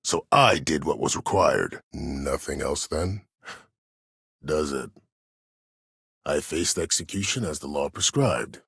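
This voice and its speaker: An actor playing a cold, proud, older former war admiral in a video game, speaking formally and with measured authority in a gravelly, husky voice. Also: male voice, very deep voice, fairly bright tone, no echo, studio quality, full character voice. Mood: grave, resolute. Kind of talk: dramatic video game dialogue.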